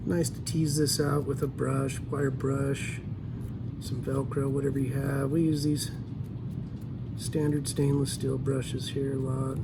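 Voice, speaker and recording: A man from Idaho, relaxed and easygoing with frequent ums, giving a step-by-step fly tying tutorial at a shop workbench. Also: medium pitch, measured pace, vocal fry, background noise. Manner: easygoing, talks while working, frequent ums.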